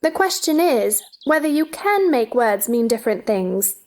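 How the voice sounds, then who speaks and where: A woman's voice: fairly high pitch, big pitch swings, moderate pace, a little mellow. A woman playing a polite, curious, bewildered seven-year-old girl in a theatrical audio drama, earnest and wondering.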